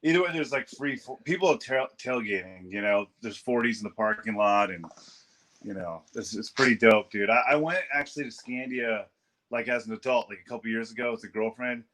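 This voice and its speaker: A man's voice: medium pitch, a little fast, fairly bright tone, poor audio. A man from Southern California hosting a podcast over a video call, talking in a laid-back, slangy way with lots of "dude.". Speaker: podcast host.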